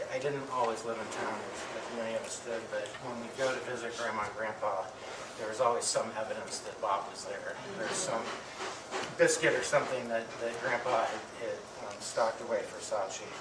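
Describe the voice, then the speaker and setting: A middle-aged man giving an affectionate eulogy to an audience, speaking haltingly with pauses and repeated words. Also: on the low side, fairly even intonation. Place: medium-sized indoor hall with audience. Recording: distant mic, echoey room, clear background noise.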